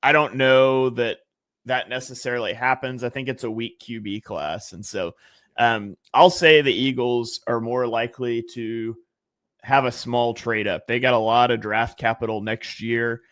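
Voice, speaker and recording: A man's voice: medium pitch, unhurried, fairly bright tone, no room sound. A man talking casually as a guest analyst on a sports podcast, thinking aloud with fillers and restarts.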